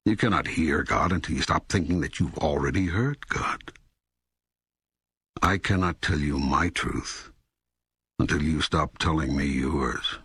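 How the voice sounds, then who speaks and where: Very deep, authoritative God. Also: male voice, a bit bright, slightly rough, no room sound, heavily acted. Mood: firm, instructive. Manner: deliberate, emphatic, didactic. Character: God, patient, authoritative, all-knowing male voice. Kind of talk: audiobook dialogue narration.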